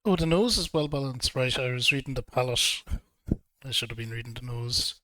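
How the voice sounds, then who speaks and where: Bright, crisp-sounding man with a cold. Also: mid-range voice, fairly quick, no echo. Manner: relaxed, hesitant with frequent ums. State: has a cold, throaty. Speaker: whiskey reviewer. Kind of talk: casual video review.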